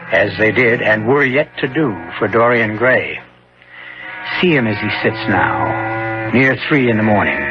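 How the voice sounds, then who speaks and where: A man hosting and narrating a 1970s radio mystery, with measured, portentous, rhetorical delivery, on a vintage recording. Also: fairly low pitch, highs cut off. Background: loud dramatic music.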